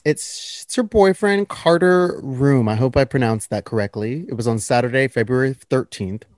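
A man's voice: medium pitch, moderate pace, darkish tone, dry recording, studio quality. A man casually talking through pop culture gossip, slangy, blunt and opinionated.

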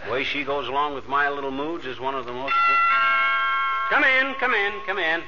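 An actor playing a blustery, wisecracking middle-aged husband with folksy slang in an old radio comedy. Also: male voice, fairly high pitch, animated, normal speed, over loud music, natural voice. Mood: genial, blustery. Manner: folksy, slangy, pleased with own puns. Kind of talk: 1940s radio comedy acting. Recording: noisy, muffled old radio recording.

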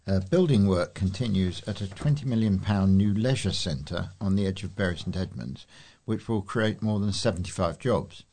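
A man reading local news aloud, a little halting over names. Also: low-pitched, a little mellow. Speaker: volunteer news reader.

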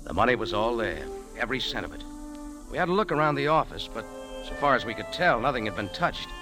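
An actor playing a tough, sardonic American bar owner in a hardboiled 1940s radio drama style. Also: male voice, mid-range voice, big pitch swings, fast, natural voice, low-quality recording. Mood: hardboiled narrating. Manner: sardonic, clipped. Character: tough, wisecracking American bar owner narrating his adventure. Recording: old radio drama recording, music underneath.

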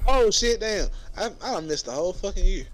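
A man's voice: sits high, animated, fairly quick, low-quality recording. A man in his twenties with a Southern US accent co-hosting a casual comedic chat, talking in emphatic, profane slang. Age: 20s. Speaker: podcast co-host.

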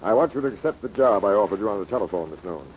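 An actor playing a wealthy, imperious uncle in 1940s radio drama style, smug and formal yet uneasy. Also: male voice, fairly low pitch, animated, very fast, darkish tone, lightly acted. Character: wealthy, domineering, uneasy uncle under death threats. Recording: muffled, hissy old radio recording.

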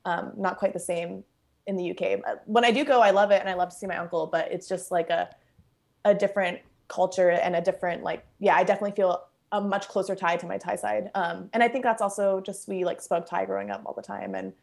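A woman's voice: mid-range voice, fairly even intonation, fast, vocal fry. A young woman born in Bangkok and raised in Los Angeles, chatting casually as an interview guest at home, full of fillers and easy laughter. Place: at home, kitchen nearby.

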